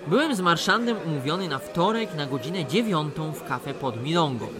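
A man's voice: on the high side, animated, a little fast, crowd noise, lightly acted. An actor playing a put-upon, chatty painter complaining about his aunt in a radio play. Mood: exasperated, recounting. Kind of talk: radio play acting, storytelling.

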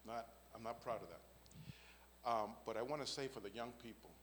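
A man's voice: medium pitch, normal speed, a bit bright, big-room sound, slight background noise. A man, giving an earnest spoken faith testimony and often checking in with "right?" and "okay?". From the Bronx, New York. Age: 50s.

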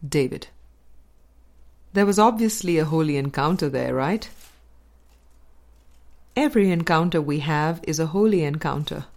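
A woman narrating a spiritual dialogue book as a scripted audiobook reading, with measured, deliberate phrasing and pauses. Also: sits low, gravelly, a little mellow. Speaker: audiobook reader.

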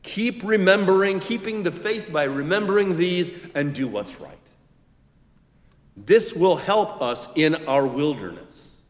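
A man preaching a scripted sermon as a pastor, with measured, emphatic phrasing and deliberate pauses. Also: medium pitch. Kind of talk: scripted expository sermon with quotations. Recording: muffled recording in a reverberant hall.

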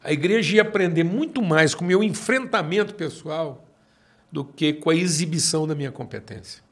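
A man in his sixties with a Brazilian accent, a pastor telling his own story in conversation and slowing down to stress his points. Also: a little high. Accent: Brazilian Portuguese. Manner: emphatic, slows down to stress points.

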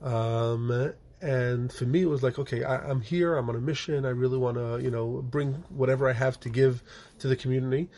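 A man's voice: medium pitch, steady tone, brisk, a little mellow. A middle-aged man, born in the United States and raised in Israel, telling his life story as a rabbi guest on an interview show, with many fillers and restarts. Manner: rambling, frequent fillers and restarts. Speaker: guest, rabbi and organization director. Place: office.

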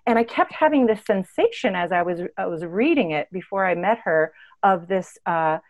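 A woman's voice: fairly low pitch, lively intonation, moderate pace. Muffled recording, woman telling reflective stories. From born and raised in East Africa. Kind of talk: reflective personal storytelling.

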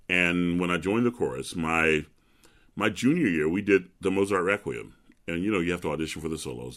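A man with a very low, resonant bass voice that carries. He is middle-aged and talks in a relaxed, chatty way, telling long stories and laughing easily as an interview guest. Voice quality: deep resonant bass speaking voice.

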